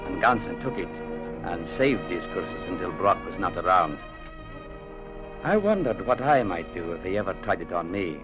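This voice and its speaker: Low, steady radio-drama clerk. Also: male voice. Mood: grave narrating. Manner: measured, firm, matter-of-fact. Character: steady, defiant young company clerk telling his adventure. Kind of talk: 1950s radio drama narration and acting. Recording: dull vintage radio broadcast, music behind.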